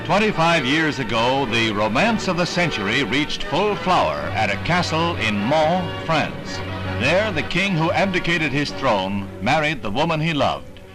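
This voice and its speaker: An American man narrates a 1960s newsreel with formal, dramatic announcer polish. His voice sits a little high for a man, and prominent music runs behind it on the vintage soundtrack. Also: very animated, unhurried, rough recording.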